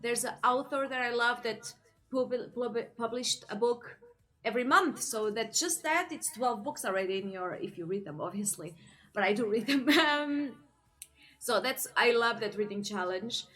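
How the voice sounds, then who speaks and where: A woman's voice: fairly high pitch, moderate pace, fairly bright tone. Chatty young woman from Portugal. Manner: chatty, many fillers, laughs often. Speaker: book vlogger. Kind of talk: casual chat to camera.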